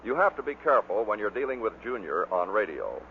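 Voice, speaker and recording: A man's voice: fairly low pitch, sing-song, a little fast, a little hiss or hum. Tinny vintage male radio narrator. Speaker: narrator of a bloopers record. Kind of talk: scripted narration.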